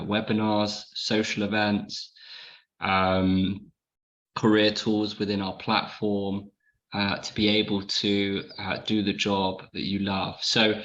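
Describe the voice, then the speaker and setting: A man hosting a webinar on a video call, introducing his company and guest in a brisk way with many ums. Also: lowish voice, a bit bright, lo-fi sound. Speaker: webinar host, company founder.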